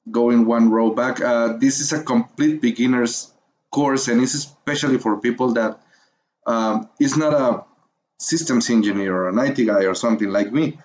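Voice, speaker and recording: A man from Mexico with a Spanish accent, whose first language is Spanish, teaching a beginner's course in an encouraging, hesitant way, pausing often to find his words. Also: on the low side, a little mellow, a little hiss or hum. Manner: hesitant, many fillers and pauses while finding words. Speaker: course instructor. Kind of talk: screencast tutorial narration.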